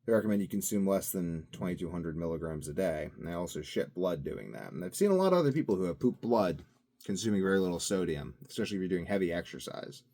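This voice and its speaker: A young man talking casually to camera, wandering from thought to thought and swinging between loud bursts and mumbled asides. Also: low voice, big pitch swings, fast. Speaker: vlogger. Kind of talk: casual rambling monologue.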